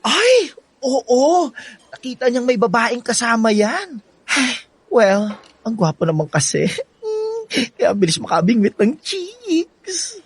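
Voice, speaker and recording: A woman's voice: on the high side, sing-song, slow, slightly rough, full character voice. A woman acting a gossipy, easily exasperated younger sister in a Filipino radio drama, chatty and exclamatory.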